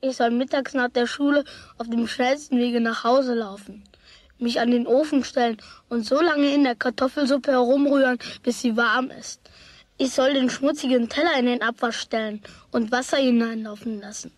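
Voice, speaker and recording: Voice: mid-range voice, fairly even intonation, moderate pace, no echo, lightly acted. A performer playing a sulky, cheeky young schoolboy who complains defiantly in a children's radio play. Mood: indignant.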